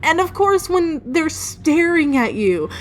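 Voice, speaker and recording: A woman telling a personal story in a casual, informal way, with dramatic pauses for suspense and acted-out thoughts. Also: high voice, big pitch swings. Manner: casually sweary. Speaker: storyteller, video creator. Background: music.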